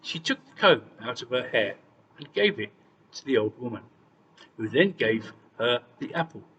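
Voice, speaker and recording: A man's voice: medium pitch, big pitch swings, normal speed, a bit bright, distant mic, highs cut off. A man narrates a children's fairy tale with very varied intonation. He sounds set back from the mic in a long reverb, with faint noise underneath. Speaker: storyteller.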